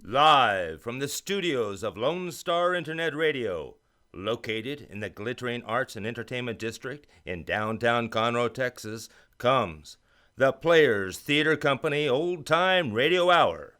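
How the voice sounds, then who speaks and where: A man's voice: mid-range voice, a bit bright. A man hosting a radio show from a studio in a theatrical, old-time announcer style, with grand scripted narration.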